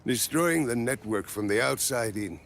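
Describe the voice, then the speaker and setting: A man's voice: mid-range voice, expressive, normal speed, vocal fry. An actor playing an earnest older male scientist and mentor in a video game cutscene. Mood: warm, regretful.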